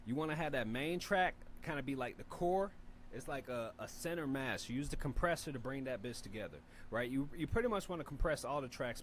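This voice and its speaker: A man with a deep voice hosting a rap review show, giving loose, rambling feedback with lots of fillers and the odd curse. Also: a little hiss or hum. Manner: laid-back, rambling, profane, lots of fillers. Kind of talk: casual spoken feedback and mixing tips.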